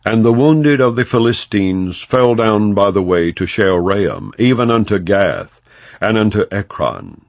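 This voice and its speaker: A man narrating scripture as an audiobook, reading in a solemn, measured and emphatic way. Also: fairly low pitch, very animated, creaky, no echo.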